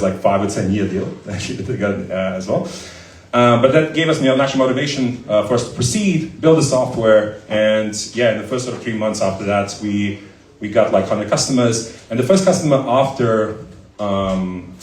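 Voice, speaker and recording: A man's voice: on the low side, a little mellow. A man, a startup founder, answering questions at a live event in a talkative, anecdotal way with many fillers. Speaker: startup founder and CEO as guest. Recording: echoey room, voice from far off.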